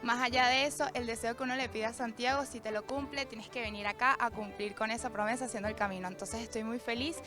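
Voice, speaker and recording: A woman's voice: fairly high pitch, steady tone, quick pace, hardly any pauses, over music. A young woman from Venezuela with a Venezuelan accent, eager and cheerful.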